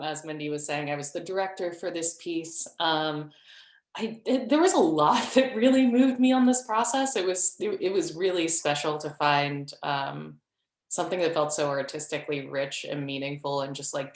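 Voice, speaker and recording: A woman speaking casually as a theater director on a panel, effusive but halting. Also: sits low, steady tone. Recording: echoey room sound.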